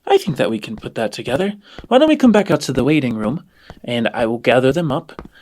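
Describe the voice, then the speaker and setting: A man's voice: mid-range voice, very animated, fairly quick, darkish tone. Man playing a polite orphanage matron. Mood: businesslike, agreeable. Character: polite, accommodating woman running an orphanage.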